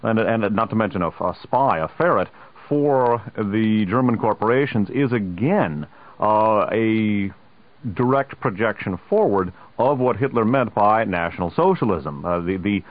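A man hosting a political radio interview, talking haltingly with many uhs and wry asides. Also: lowish voice, lively intonation. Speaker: radio host. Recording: tinny lo-fi radio broadcast.

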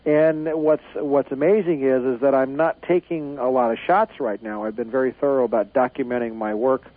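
A man speaks as a radio guest over a tinny phone line, confident and rambling, with plenty of fillers. His pitch sits in the middle range. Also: steady tone, moderate pace. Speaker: guest, newsletter editor. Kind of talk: radio interview.

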